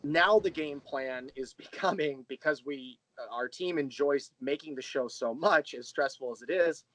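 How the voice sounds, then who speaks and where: A man's voice: medium pitch, moderate pace, a bit bright, no room sound, rough recording. A man speaking as an interview guest, explaining things in detail in a conversational way. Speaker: interview guest, audio drama creator.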